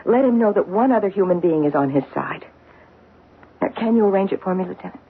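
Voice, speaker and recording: Earnest loyal wife, tinny radio drama. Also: female voice, mid-range voice, expressive, fast, vocal fry, breathy edge. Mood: earnest pleading. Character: earnest married woman loyal to her accused old flame. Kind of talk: 1970s radio drama acting. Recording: muffled, noisy 1970s radio broadcast recording.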